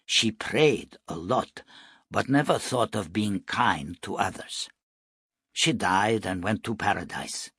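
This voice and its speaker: A man voicing a gentle, wise wandering holy man in a storybook, speaking in a calm, measured, solemn way. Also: on the low side, slightly rough, no room sound, studio quality, heavily acted. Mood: gentle, reflective.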